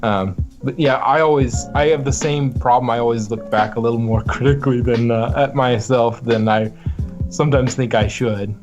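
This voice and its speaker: A man talks casually as a podcast guest with music clearly playing behind him. He is reflective and halting, working out his thoughts on acting as he goes. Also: mid-range voice, fairly even intonation, muffled recording. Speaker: guest voice actor.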